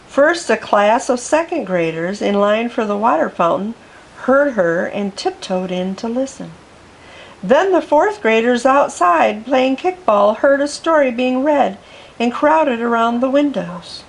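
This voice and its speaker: A woman reads a children's picture book aloud at a slow, unhurried pace. Her voice sits fairly high and her storytelling is gentle and expressive. A faint hiss runs under the recording. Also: rough recording. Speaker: storytime reader.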